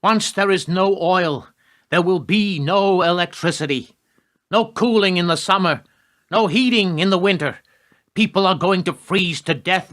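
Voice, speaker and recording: An actor playing a grim, hawkish Secretary of Defense in a radio play, formal, pointed and coolly menacing. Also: male voice, sits high, a bit bright. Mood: grave warning.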